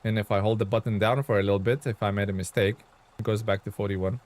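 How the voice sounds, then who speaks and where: A man's voice: on the low side, fairly even intonation, fast, a little mellow. Chatty Lithuanian-born man streaming a game. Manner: loose and chatty, reads viewer comments aloud, many fillers. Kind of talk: casual live-stream chat.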